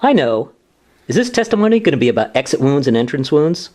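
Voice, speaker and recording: A man's voice: on the high side, very animated, fast talker, darkish tone, a little hiss or hum. A man playing an earnest, deferential junior lawyer, easily exasperated, in a radio sitcom. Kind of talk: sitcom-style radio play dialogue.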